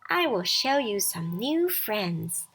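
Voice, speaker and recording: A woman's voice: medium pitch, big pitch swings, takes it slowly, fairly bright tone, slightly rough, full character voice. A woman voicing a tiny, kindly magical fairy, reassuring and soothing, in a children's storybook reading.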